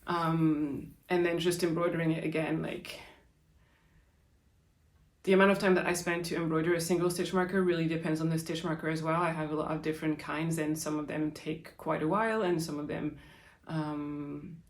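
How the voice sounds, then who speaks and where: A woman's voice: low voice, little pitch movement, normal speed. Chatty French-accented woman in a roomy space. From France. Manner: chatty, lots of fillers and likes. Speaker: podcast host. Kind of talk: casual Q&A chat. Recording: roomy echo.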